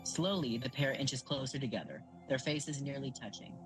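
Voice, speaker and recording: A man's voice: mid-range voice, moderate pace, lightly acted. A man reading stage directions as the narrator of an audio drama, in a scripted narration style. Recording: music under narration.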